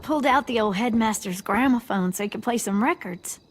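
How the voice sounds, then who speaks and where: A woman's voice: a little high, a little fast, lightly acted. A woman voicing an eager, encouraging teenage girl in a video game, talking casually as if thinking aloud. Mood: casual, practical. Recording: faint background noise, night crickets.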